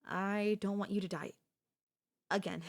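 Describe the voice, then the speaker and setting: A woman's voice: mid-range voice, fairly even intonation, unhurried, creaky. A woman playing an obsessive, doting yandere stalker in an ASMR audio roleplay, speaking in a coaxing, theatrical way. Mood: concerned, explaining. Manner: theatrical, coaxing, addresses listener as darling. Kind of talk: ASMR audio roleplay, girlfriend-style monologue.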